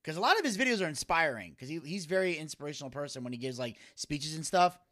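Co-host, male, high bright voice, lively storytelling. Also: fast talker. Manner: animated storyteller, laughs often. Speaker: podcast co-host. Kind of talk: casual chat.

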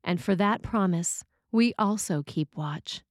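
A woman delivers a scripted sermon as a priest would, measured and formal. Her voice sits at a middle pitch, recorded close and dry with very little room sound. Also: dry recording.

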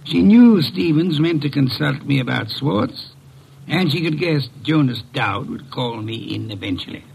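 An actor playing an older, portly, pompous detective genius in old radio drama style, speaking formally and precisely with a gravelly, husky voice. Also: male voice, on the high side, lively intonation, a little slow, darkish tone, slight background noise, heavily acted, poor audio. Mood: lofty, explaining.

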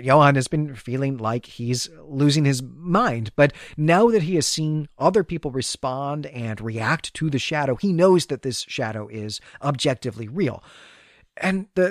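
A man's voice: fairly high pitch, no room sound, clean studio sound. A man hosting a podcast, talking through a story recap in a conversational, analytical and explanatory way. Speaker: podcast host.

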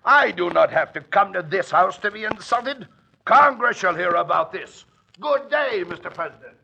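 A man's voice: sits high, animated, moderate pace, vocal fry, bright, forceful tone, lo-fi sound, full character voice. An actor playing a pompous, sneering congressman in an old-time radio drama, orating with indignation at his political opponent. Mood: indignant. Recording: noisy vintage radio recording.

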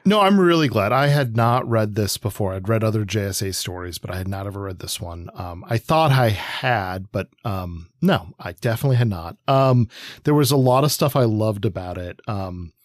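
A man co-hosting a podcast, talking casually and appreciatively in a rambling, halting way. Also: lowish voice, dry recording, clean studio sound. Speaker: podcast co-host. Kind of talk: casual chat about comics.

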